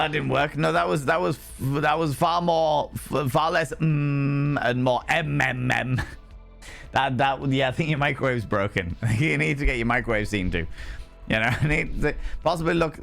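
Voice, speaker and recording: Hoarse man chatting playfully. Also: mid-range voice, a bit bright. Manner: playful, theatrical greetings to chat. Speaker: livestream host. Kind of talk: casual chat with viewers.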